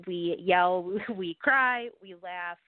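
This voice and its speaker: A woman's voice: on the low side, very slow, dry recording. A woman podcaster being interviewed, explaining things in a casual, conversational way. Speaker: podcast host, interview guest. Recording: dry, narrow phone-line audio.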